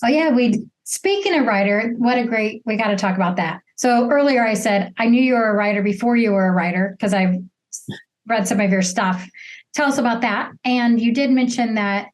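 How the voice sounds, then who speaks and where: A woman interview host with a fairly high voice. She speaks in an easy conversational style, as in a casual chat with a guest. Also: normal speed, low-quality recording.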